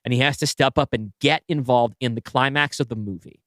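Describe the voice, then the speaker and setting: A man's voice: medium pitch, a little fast, fairly bright tone, no room sound, studio quality. A man co-hosting a podcast, brainstorming in a casual, informal way and often checking in with "right?". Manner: informal, frequent "like" and tag "right?". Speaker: podcast co-host. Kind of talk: casual brainstorming chat about a story.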